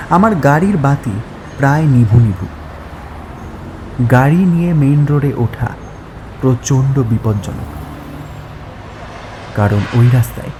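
A man narrating a scripted horror story deliberately, pausing between short phrases. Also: mid-range voice, mellow, a little hiss or hum, low-quality recording.

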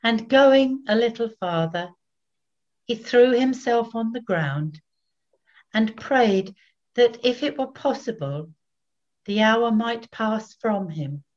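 Older woman, very slow scripture narration, fairly high pitch, pauses between phrases, dull audio. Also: animated, darkish tone. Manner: measured, pausing between phrases. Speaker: narrator, Evangelist reader. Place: indoors in a home room.

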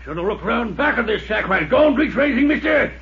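Raspy, gravelly old desert prospector. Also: male voice, very high-pitched, expressive, fast talker, full character voice. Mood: wary, menacing. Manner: folksy, clipped, defensive frontier talk. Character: desperate, suspicious old male prospector accused of murder. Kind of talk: 1950s radio detective drama acting. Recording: muffled 1950s radio recording.

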